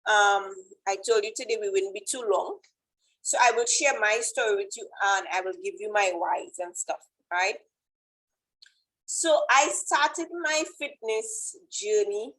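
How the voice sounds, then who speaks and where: A woman's voice: medium pitch, a bit bright, poor audio. A woman with a Trinidadian accent giving an informal motivational presentation as a fitness coach, often checking in with "right?".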